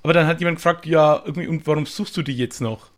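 Higher-voiced man in casual chat. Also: fast. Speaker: podcast co-host.

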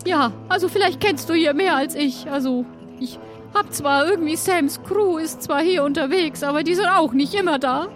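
A woman's voice: high-pitched, moderate pace, over music, close to an everyday voice. A woman playing Greta, a grieving, hesitant woman searching for someone lost, in an improvised audio adventure. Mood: unsure.

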